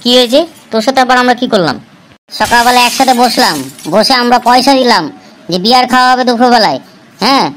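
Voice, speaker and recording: Cheeky village lad for comedy cartoon, played by a woman: raised pitch, slangy and bantering, slight background noise. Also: quick pace, fairly bright tone, lightly acted, poor audio. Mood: arguing. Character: cheeky young male village prankster, teasing and scheming.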